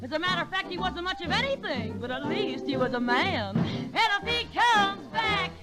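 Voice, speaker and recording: A woman's voice: extremely high pitch, sing-song, normal speed, over loud music. A woman performing a vintage swing number, half singing and half talking, sassily addressing other women. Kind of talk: vintage swing song, sung and half-spoken. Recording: vintage swing record, loud band.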